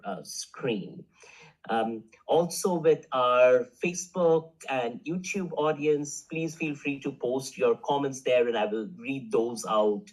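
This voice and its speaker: A man hosts the question session of an online lecture, giving polite, careful instructions to the audience. He speaks slowly at an ordinary pitch, over a video call. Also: poor audio. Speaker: webinar moderator. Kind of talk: moderating a Q&A.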